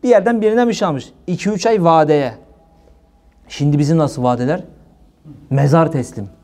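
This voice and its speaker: Male preacher, middle-aged, high-pitched. Informal, exhorting sermon full of emphatic rhetorical questions. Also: moderate pace, a little mellow. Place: small indoor room at a preaching desk.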